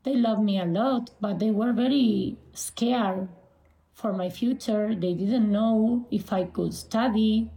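Slow, halting Argentine woman in her forties. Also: medium pitch, warm tone, roomy sound, rough recording. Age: 40s. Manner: halting, with frequent pauses and restarts. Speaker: guest. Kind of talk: interview answers.

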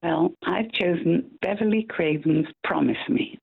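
Older Scottish woman caller, gravelly and husky, tinny phone line, effusive. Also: fairly low pitch, unhurried, no echo. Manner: chatty, effusive, laughs easily.

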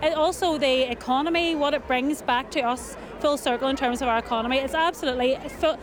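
A woman's voice: sits high, brisk, a crowd in the background, noisy background. A woman speaking enthusiastically in a news interview.

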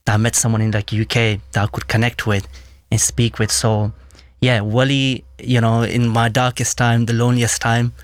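A man's voice: medium pitch, flat delivery, normal speed, no echo. A young man from Pakistan whose first language is Pashto, speaking casually and reflectively as an interview guest. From Swat Valley, Pakistan. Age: young adult.

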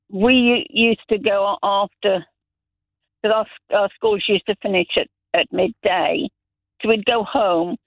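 A man's voice: high voice, dry recording. A middle-aged man from Zambia, calling into a radio show and telling long stories with many restarts. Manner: rambling anecdotes, many restarts. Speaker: radio caller. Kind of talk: casual phone-in chat. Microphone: telephone. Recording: tinny dry phone line.

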